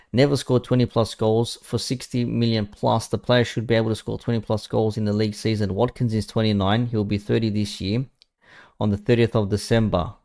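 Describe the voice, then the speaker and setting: A man's voice: medium pitch, creaky, darkish tone. A man, chatting casually and bluntly about football to his viewers. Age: 30s. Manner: blunt, sweary, mocking. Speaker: football streamer. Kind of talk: casual live chat.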